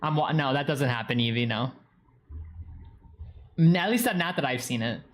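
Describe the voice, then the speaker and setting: A man's voice: medium pitch, animated, moderate pace. A young man with a New England accent, chatting casually with viewers on a live stream and rambling with lots of fillers. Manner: rambling, heavy use of "like". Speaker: streamer reading chat.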